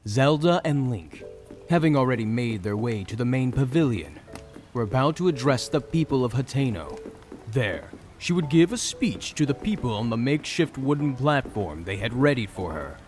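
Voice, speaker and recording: A man's voice: mid-range voice, sing-song, normal speed. A man narrating a fantasy story in a dramatic, scripted storytelling style. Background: soft music.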